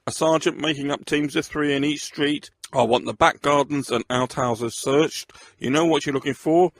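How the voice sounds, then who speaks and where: A man's voice: a little high, normal speed, no echo, pitched above the performer's own voice, natural voice. Man acting a barking, domineering police superintendent. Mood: barking, commanding. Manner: commanding, barking orders. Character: barking, domineering male police superintendent, bitter and self-important.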